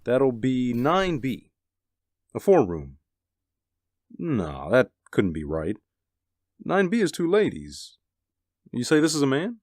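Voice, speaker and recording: A man playing a plain-spoken, sceptical building superintendent in a radio drama, offhand and matter-of-fact with hesitant pauses. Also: mid-range voice, wildly swooping pitch, a little mellow, dry recording, studio quality, full character voice. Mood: puzzled, businesslike.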